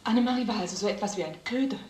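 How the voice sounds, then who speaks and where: A woman's voice: mid-range voice, racing, a little mellow, lightly acted. Wounded mother in a roomy film scene woman acting. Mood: shocked, wounded. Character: indignant, wounded mother demanding the truth. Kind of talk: old German crime film dialogue. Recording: roomy film soundtrack with background noise.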